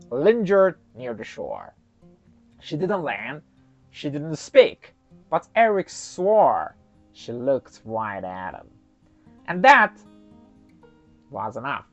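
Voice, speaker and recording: A storyteller narrating a folktale in an expressive, dramatic way, with deliberate pauses. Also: mid-range voice, no echo. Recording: slightly muffled, soft music underneath.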